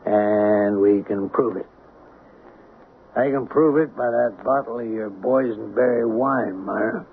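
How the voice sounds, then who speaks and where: An actor playing a shrewd, folksy small-town investigator in an old-time radio drama, plain-spoken, on a tinny, noisy recording. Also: male voice, fairly low pitch, unhurried, vocal fry. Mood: calm, accusing.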